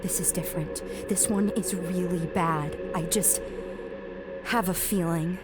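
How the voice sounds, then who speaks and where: A woman's voice: lowish voice, steady tone, a little slow, loud music behind the voice, natural voice. A woman playing a determined young girl weighed down by guilt, in a family audio drama. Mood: grieving, guilty.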